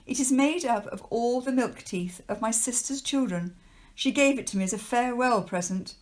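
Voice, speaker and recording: A woman's voice: fairly high pitch, moderate pace, voice pushed up, natural voice. A woman reading a storybook, voicing a stoical governess in a dry, matter-of-fact, expressionless manner. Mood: dry, deadpan.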